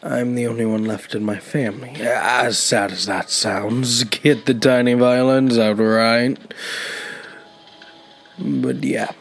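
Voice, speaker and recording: An actor playing a lonely elderly man who was once homeless, with a hesitant, gentle, wry way of talking in an audio drama. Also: medium pitch. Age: older. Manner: hesitant, reflective. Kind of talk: naturalistic audio drama dialogue.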